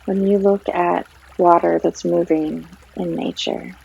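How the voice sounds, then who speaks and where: Slow, very creaky woman guiding meditation. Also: lowish voice, flat delivery. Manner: gentle, unhurried, long pauses between phrases. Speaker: yoga and meditation guide. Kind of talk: guided meditation, soothing narration. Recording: slightly dull sound, trickling stream water behind. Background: flowing stream water.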